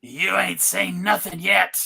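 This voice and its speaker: A performer doing a sneering cartoon ghost monster, taunting and drawn out. The voice is gravelly yet bright, with slow delivery. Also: mid-range voice, steady tone, heavily acted. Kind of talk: live cartoon script table read.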